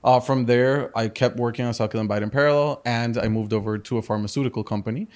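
A man's voice: mid-range voice, steady tone, fast talker, darkish tone. A man in his thirties who grew up in Spain, a business guest talking in a casual interview, earnest, restarting sentences and tagging them with "correct?". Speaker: entrepreneur guest.

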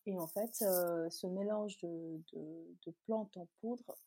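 A woman's voice: on the low side, takes it slowly, dark tone, slightly rough, no room sound. A woman from Chad whose native language is Tazaga, answering interview questions conversationally in an explanatory way. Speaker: interview guest, beauty brand founder.

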